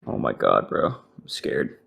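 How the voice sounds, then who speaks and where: A young man streaming a game live, reacting excitably and swearing as he plays. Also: a little slow. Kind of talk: live gaming commentary.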